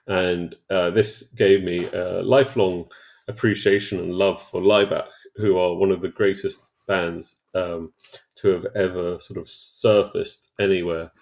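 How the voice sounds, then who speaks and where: Man in his thirties, very deep with a gravelly fry, thoughtful co-host, halting delivery. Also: fairly even intonation, a little mellow. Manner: thoughtful, hesitant with many fillers. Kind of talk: casual chat.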